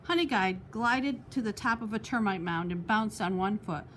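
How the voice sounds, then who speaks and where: A woman's voice: medium pitch, moderate pace, a bit bright, a little hiss or hum. A woman reading a children's picture book aloud expressively, as a storytime host. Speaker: storytime reader.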